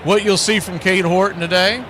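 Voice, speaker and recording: Man calling baseball over steady noise. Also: high-pitched, normal speed. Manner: folksy, uses nicknames and catchphrases. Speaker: baseball play-by-play announcer. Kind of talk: live sports commentary.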